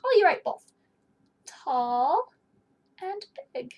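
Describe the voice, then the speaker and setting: A woman's voice: extremely high pitch, sing-song, very slow, a little mellow, highs cut off. A woman in her thirties teaching a read-aloud lesson, explaining and putting questions directly to young children. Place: small indoor room with bookshelf.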